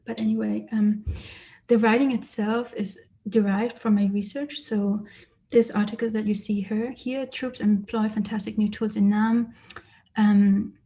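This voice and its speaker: Soft-spoken woman artist, flat delivery. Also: mid-range voice, a little slow, low-quality recording. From Germany. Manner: hesitant, many fillers and restarts, thoughtful. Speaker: artist giving a lecture. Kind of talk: lecture presentation. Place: lecture or online presentation.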